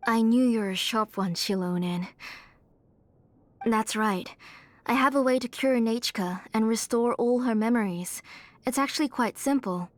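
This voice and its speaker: A woman playing a gentle, sorrowful, devoted mother in a dramatic video game scene. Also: on the high side, unhurried, dry recording, close to an everyday voice. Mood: resolute, confessing.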